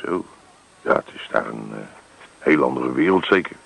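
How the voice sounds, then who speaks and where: An actor playing a folksy small-town car dealer in a Dutch radio play, with chummy, slightly hesitant sales patter. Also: male voice, a bass voice, animated, moderate pace, creaky, fairly bright tone, breathy edge, exaggerated voice. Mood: hesitant, accommodating.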